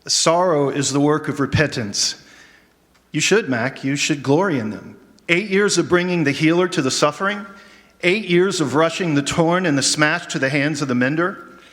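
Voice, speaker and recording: An actor playing a solemn, gentle, mysterious doctor in a radio drama, with measured, formal phrasing. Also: male voice, fairly high pitch, lightly acted. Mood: solemn, consoling. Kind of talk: stage radio drama acting. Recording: noisy live hall, long reverb.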